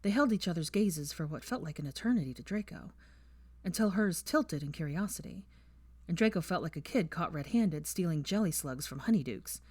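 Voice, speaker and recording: A woman with a fairly low voice reads a story aloud at an even, measured pace. The recording is dry and close, with no room echo. Her delivery stays steady, like a reader guiding listeners through each scene. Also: slightly rough. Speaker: audiobook reader. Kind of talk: fan fiction audiobook narration.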